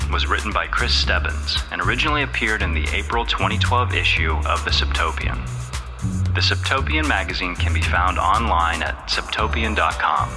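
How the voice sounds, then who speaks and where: Deep-voiced male announcer over music. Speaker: podcast credits announcer. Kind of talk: scripted credits read.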